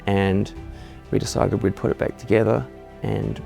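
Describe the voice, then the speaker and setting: Gravelly low-voiced New man. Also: level tone, normal speed. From New Zealand. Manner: candid, restarts words, warm praise. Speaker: homeowner giving a client testimonial. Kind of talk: relaxed testimonial interview. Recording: soft music underneath.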